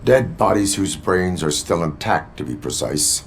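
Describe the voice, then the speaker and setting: Very deep, deadpan cyborg cop. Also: male voice, measured pace, a little hiss or hum. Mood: flat, stern. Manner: clipped, deadpan, robotic. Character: stoic male cyborg police officer, formal and blunt. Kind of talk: video game voice acting.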